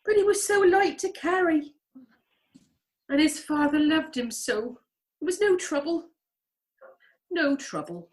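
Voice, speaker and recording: A woman playing a gentle, grieving mother in a radio play, wistful and deliberate. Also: high-pitched, lively intonation. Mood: wistful, tender. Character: grieving, gentle working-class mother and wife. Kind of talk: radio drama acting.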